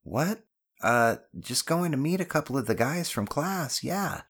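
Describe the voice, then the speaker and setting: Man voicing an awkward, skeptical schoolboy, pitched up. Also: lively intonation, a little slow, a bit bright, breathy edge, exaggerated voice. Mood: flustered. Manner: casual, hesitant, mildly exasperated. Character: awkward, skeptical schoolboy son humoring his mother. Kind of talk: audiobook character dialogue.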